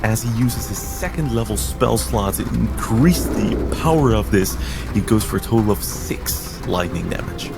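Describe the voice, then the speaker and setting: A man's voice: fairly low pitch, animated, normal speed, vocal fry, loud music behind the voice. A man playing a tabletop game, narrating his character's actions in an intense, theatrical way. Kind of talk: dramatic third-person game narration.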